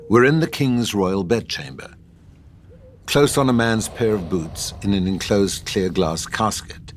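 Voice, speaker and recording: A man giving scripted narration of stage directions for an audio drama. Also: on the low side.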